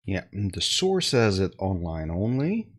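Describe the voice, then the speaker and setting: Slow, low-voiced man streaming with a headset. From Canada. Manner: slow, relaxed, with many fillers and restarts. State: slightly congested. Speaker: tech deals streamer. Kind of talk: casual live-stream talk to chat. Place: small room at home.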